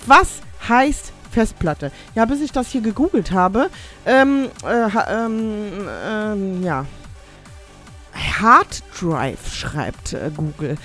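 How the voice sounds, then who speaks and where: A middle-aged woman hosting a casual radio show, rambling and self-mocking, reading listener messages in halting broken English. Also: mid-range voice, lively intonation, fairly bright tone, soft background music.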